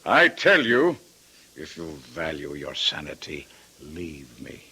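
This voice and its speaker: An actor playing a menacing, impatient and desperate villain in old-time radio drama, with an imperious, urgent and demanding manner. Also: male voice, very deep voice, huge pitch swings, takes it slowly, creaky, pushed and bright, husky, exaggerated voice. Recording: faintly noisy vintage radio recording.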